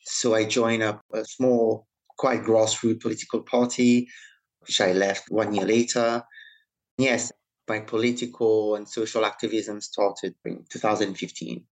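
A man born in Italy, speaking as an interview guest in a measured, reflective way. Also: fairly low pitch, level tone, poor audio. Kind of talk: interview conversation.

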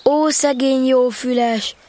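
A woman playing a kind, earnest young boy in a children's audio drama, speaking with gentle care. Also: high voice, fairly even intonation, natural voice. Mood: gentle concern.

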